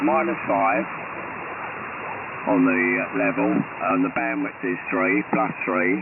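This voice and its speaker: A man, an amateur radio operator talking on a radio net, halting as he reads out settings step by step. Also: on the low side, vocal fry. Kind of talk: on-air radio net chat. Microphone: radio transceiver microphone. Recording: tinny radio transmission with hiss.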